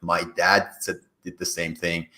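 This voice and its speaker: A man's voice: low-pitched, little pitch movement, a little slow, sharp tone. A man from Iran, a native Farsi speaker, giving earnest, explanatory answers in a conversational interview as a career coach. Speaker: career coach, podcast guest. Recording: echoey, reverberant room.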